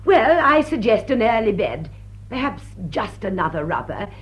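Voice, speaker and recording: An actress playing a bossy, fussing society hostess in a period drawing-room drama, brisk and commanding. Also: female voice, medium pitch, big pitch swings, lightly acted. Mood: insistent. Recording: dull, muffled audio.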